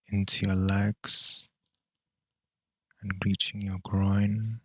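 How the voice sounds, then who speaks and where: A man leading a guided meditation in a calm, measured, unhurried way with long pauses between phrases. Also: fairly low pitch, creaky, no echo.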